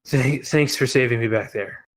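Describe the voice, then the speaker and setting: A man voicing an exhausted, gravelly-voiced earthen creature in a tabletop game, short and curt. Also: mid-range voice, moderate pace, natural voice. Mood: weary. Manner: panting, curt.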